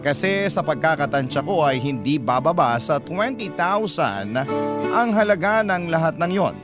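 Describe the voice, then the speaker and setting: A man's voice: fairly high pitch, sing-song, normal speed. An actor playing a young boyfriend who confides his love story as scripted radio drama narration, earnest and reflective, with a Filipino accent. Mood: sincere, reflective. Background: loud guitar music.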